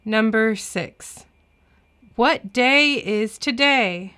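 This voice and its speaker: A woman reads English practice dialogues for a children's lesson. Her voice is bright and very clean, and she takes each phrase slowly with careful enunciation. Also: on the high side, lively intonation, smooth. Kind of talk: slow scripted language-lesson reading.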